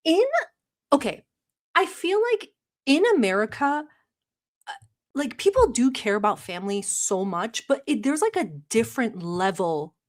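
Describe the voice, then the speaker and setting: A woman's voice: a little high, very animated, measured pace, long pauses. A woman from Turkey who hosts a livestream, chatting casually and rambling with many filler words, sometimes bossy and sarcastic toward her chat. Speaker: livestream reaction host.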